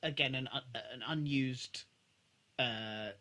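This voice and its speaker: A man's voice: medium pitch, slow pace, bright, forceful tone. A man co-hosting a podcast in casual, sweary conversation. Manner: informal, sweary. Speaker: podcast co-host. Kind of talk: casual chat reviewing a TV episode.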